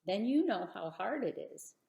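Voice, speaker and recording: A woman voicing Abraham as a very old, amazed and doubting man in a storybook, halting and easily flustered. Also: mid-range voice, big pitch swings, creaky, reverberant, lo-fi sound. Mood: earnest counting. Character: Abraham, ninety-nine-year-old man, giggly, amazed and doubting patriarch.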